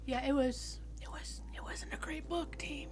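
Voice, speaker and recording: A woman player at a tabletop game, chatting casually and telling stories in an animated, quote-filled way. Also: high voice, moderate pace, whispered. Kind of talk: casual chat.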